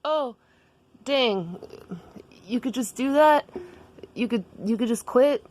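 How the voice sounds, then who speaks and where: A woman's voice: a little high, expressive, slow pace, darkish tone, pitched above the performer's own voice. A woman voicing a timid, earnest schoolboy in a comic story, hesitant and wide-eyed. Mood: eager, surprised. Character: timid, earnest, church-bound boy, school age.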